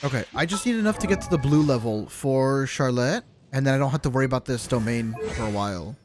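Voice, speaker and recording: Man streaming a game, loud music behind. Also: medium pitch, lively intonation, quick pace. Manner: joking, swearing, talking to chat. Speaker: game livestreamer. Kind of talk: casual livestream game commentary. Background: video game music and sounds.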